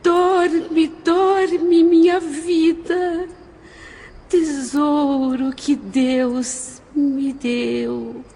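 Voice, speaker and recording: High, tearful, tender mother spirit. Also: little pitch movement, very slow, full character voice. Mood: tender lullaby. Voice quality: soft, tearful. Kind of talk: audio drama acting. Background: music.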